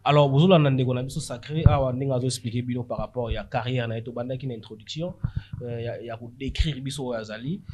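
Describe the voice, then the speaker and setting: Quick Congolese male host, dull noisy sound. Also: medium pitch. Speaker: podcast host. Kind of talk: casual interview chat, switching between languages. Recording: dull audio with faint noise.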